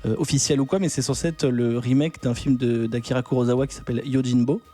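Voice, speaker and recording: Brief: man co-host, rapid, warm-toned, chatty and rambling. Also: mid-range voice, fairly even intonation, soft background music. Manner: rambling, chatty, many fillers. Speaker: film podcast co-host. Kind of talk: casual chat.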